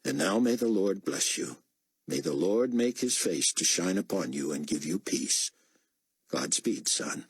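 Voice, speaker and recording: A man voicing a brisk man who gives curt commands while quietly helping a prisoner. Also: slightly rough, no echo, heavily acted. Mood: gentle. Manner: curt, commanding, low-key. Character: brisk male journalist posing as an officer, secretly kind.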